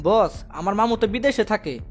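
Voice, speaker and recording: A man's voice: high-pitched, expressive, normal speed. A man voicing a dim-witted, grumbling kidnapper henchman in a cartoon, naive and argumentative, with colloquial Bangladeshi Bengali. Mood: naive, puzzled. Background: music.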